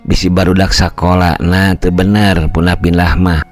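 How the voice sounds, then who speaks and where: A man's voice: low voice, flat delivery, moderate pace, soft background music, natural voice. An old man voicing a plain-spoken village mother.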